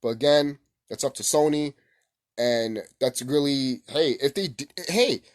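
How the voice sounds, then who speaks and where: A man hosting a casual, unscripted gaming vlog, rambling excitably, repeating himself and swearing casually. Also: medium pitch, measured pace. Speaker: gaming video host.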